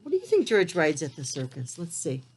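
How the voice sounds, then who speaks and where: A woman reading a picture book aloud to young children, expressive and talking to them in simple, child-directed language. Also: sits low, fairly quick, slightly rough. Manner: expressive storytelling delivery. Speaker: preschool read-aloud storyteller.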